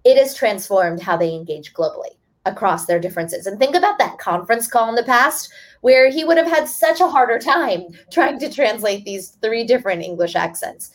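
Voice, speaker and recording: A woman's voice: fairly high pitch, lively intonation, normal speed. Earnest woman explaining on a video call. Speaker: author and guest speaker. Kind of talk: webinar talk.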